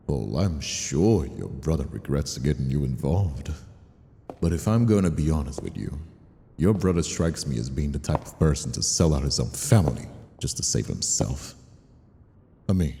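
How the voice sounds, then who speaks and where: An actor playing a menacing, condescending mobster villain in an audio roleplay, taunting with a drawl. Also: male voice, very deep voice, creaky, warm tone, husky, heavily acted. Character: menacing, mocking ambitious mobster villain, adult man. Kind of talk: dramatic audio roleplay acting.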